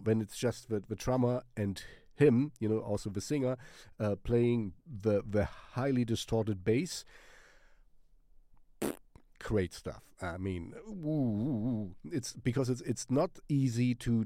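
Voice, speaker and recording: A man's voice: on the low side, warm tone, dry recording, clean studio sound. A man with a German accent, sick with a cold, rambling through a casual music recommendation full of fillers and swearing. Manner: swears casually and laughs often. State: has a cold. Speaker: music channel host.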